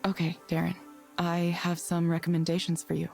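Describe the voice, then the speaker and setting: A calm, reserved counselor in a visual novel game. Her voice is fairly low and mellow. She speaks gently, in a measured and careful way. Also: gravelly, soft background music. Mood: calm, scripted. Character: calm, reserved woman reading for a counseling program. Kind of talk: naturalistic visual novel voice acting.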